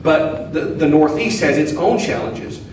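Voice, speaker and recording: A man's voice: fairly high pitch, lively intonation, measured pace, darkish tone. A man with a Southern accent, an Orthodox priest answering audience questions in a folksy, joking, earnest way. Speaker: Orthodox priest, guest speaker. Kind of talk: casual Q&A talk. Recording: echoey hall, mic at a distance, faint noise.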